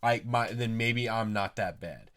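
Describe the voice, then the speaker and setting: A man co-hosting a casual podcast in a garage, speaking in a laid-back, halting way with frequent swearing. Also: on the low side, a bit bright. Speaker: podcast co-host. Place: record garage.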